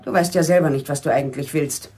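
A woman's voice: low voice, fast, darkish tone, slight background noise, close to an everyday voice. An actress playing a nagging, worried middle-aged mother in a German radio play, fussing and reproachful in manner. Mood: exasperated.

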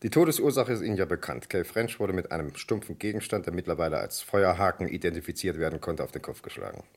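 A police doctor in an old crime radio drama, very deep and creaky. He talks fast and dryly, with self-assured, animated swings in intonation. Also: exaggerated voice. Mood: dry, certain. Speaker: actor in a crime radio play. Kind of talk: vintage German crime radio play acting.

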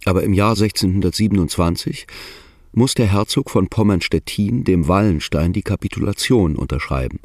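A man's voice: deep voice, normal speed, darkish tone, dry recording, studio quality. A man reading scripted narration in a historical documentary style.

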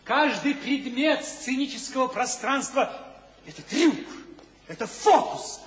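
An actor playing a fanatical revolutionary theatre director in a radio drama, declaiming theatrically in exclamations. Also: male voice, extremely high pitch, animated, unhurried, a bit bright, full character voice. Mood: frenzied, commanding. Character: fiery avant-garde theatre director, a fanatical revolutionary man. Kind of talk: stage-style radio drama acting. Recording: echoey hall reverb, faint noise.

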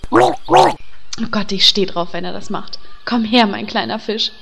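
Casting: actress for exasperated female gamer heroine, mid-pitched voice, comic audio drama, reverberant, noisy sound. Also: unhurried, fairly bright tone. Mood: smitten. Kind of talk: parody fantasy audio drama. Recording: reverberant space, clear background noise.

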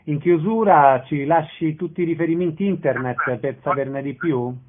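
A man's voice: on the high side, moderate pace. A man working as a radio interviewer, asking questions and announcing event details. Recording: narrow band-limited radio sound.

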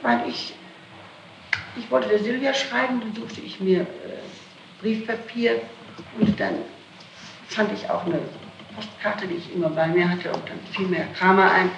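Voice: medium pitch, fairly even intonation, unhurried, vocal fry, pauses often, dull audio. A person being questioned by police, giving an explanatory account with self-corrections, on an old archival tape. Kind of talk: interrogation testimony, recounting events. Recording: roomy, noisy archival tape recording.

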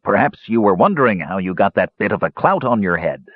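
A man's voice: lowish voice, very animated, fairly quick, slightly rough, no echo, narrow, tinny audio. A man voicing a pot-bellied, bearded dwarf adventurer in an audiobook, cunning and cajoling with mock solemnity. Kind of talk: audiobook character voice.

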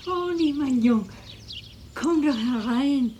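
A woman's voice: sits high, animated, slow pace, mellow, a little hiss or hum. An actress playing a lonely, motherly elderly neighbour in radio drama dialogue, with a fussing, hospitable manner. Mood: delighted, emotional. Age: older.

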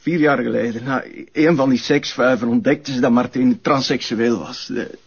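An actor playing an evasive, defensive Flemish crime suspect under interrogation, speaking haltingly when pressed. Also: male voice, mid-range voice, slightly rough. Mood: cornered, nervous. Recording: muffled TV drama soundtrack.

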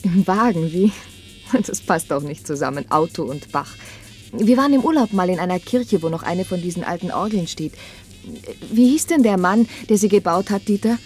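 An actress in a German radio play playing a chatty, patronizing, well-off wife with an arch manner. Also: female voice, fairly low pitch, normal speed, darkish tone, soft background music, lightly acted. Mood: condescending, amused. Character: condescending, chatty well-off wife with mocking laugh.

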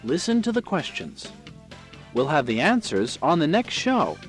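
A man's voice: fairly high pitch, huge pitch swings, a little slow, soft background music. An American man presenting a scripted English drill with questions and instructions.

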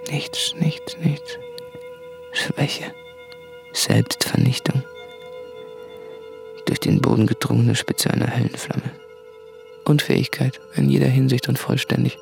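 An actor playing a brooding, tormented diarist in a radio play, confessing in an introspective, halting monologue. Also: male voice, medium pitch, huge pitch swings, gravelly, soft background music, heavily acted. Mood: self-loathing.

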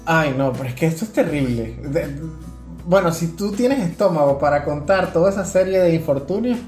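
A woman with a fairly low voice chats casually as a podcast co-host. She keeps prodding with questions and little interjections, in a roomy, echoing space with music behind her. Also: expressive, normal speed, a little mellow. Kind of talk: casual conversational podcast chat.

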